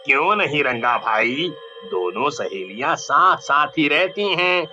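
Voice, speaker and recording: A man's voice: fairly high pitch, big pitch swings, unhurried, bright, forceful tone, dull audio, soft background music, heavily acted. A man playing a sleazy young village sidekick in a cartoon story, scheming, easily panicked and wheedling. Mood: wheedling, amused. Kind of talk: Hindi cartoon story voice acting.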